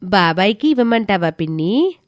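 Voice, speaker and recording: A woman's voice: mid-range voice, big pitch swings, moderate pace, no echo, close to an everyday voice. Woman acting village girl, storybook drama, polite, earnest, modest, close dry sound. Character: Anjali, dutiful, reserved village girl. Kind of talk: scripted Telugu moral story acting.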